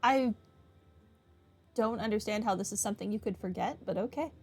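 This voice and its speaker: A woman's voice: mid-range voice, fairly quick, darkish tone, husky. A woman giving casual, wry and sarcastic commentary while playing through a video game. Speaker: gamer, playthrough host. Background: game music.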